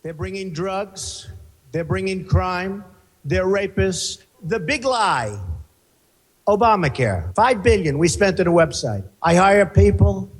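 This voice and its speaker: A man's voice: high voice, animated, slow pace, slightly rough, in a large hall. A man giving a boastful rally speech in short, blunt phrases, played into a phone call. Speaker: political speaker in a spliced prank clip.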